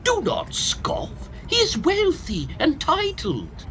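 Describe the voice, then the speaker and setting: Pompous professor, wildly swooping high voice. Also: male voice, wildly swooping pitch, slow pace, sharp tone, heavily acted. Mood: defensive, lofty. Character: eccentric, self-justifying professor and antiquities collector, husband. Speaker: actor in an audio farce. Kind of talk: comic period radio-play acting.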